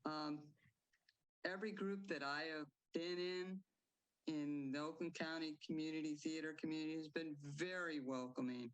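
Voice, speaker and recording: Playwright, woman, measured answers, guest on local TV. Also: low voice, fairly even intonation, poor audio. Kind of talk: interview answers.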